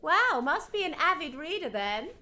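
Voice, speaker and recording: A woman's voice: sits high, very animated, moderate pace, sharp tone, exaggerated voice. A woman playing a kindly, chatty, motherly seamstress in an audiobook drama. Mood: cheerful, chatty. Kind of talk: audiobook character acting.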